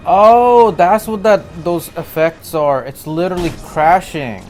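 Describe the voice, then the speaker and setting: A man's voice: high voice, unhurried. A man hosting a reaction video, giving casual, slangy commentary in short murmured bursts. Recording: loud cinematic music behind.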